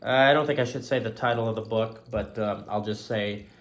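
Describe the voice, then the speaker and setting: A man's voice: lowish voice, fairly even intonation, fairly quick. A man hosting a faith-themed talk show, speaking in an earnest, rambling solo monologue and often circling back to stock phrases.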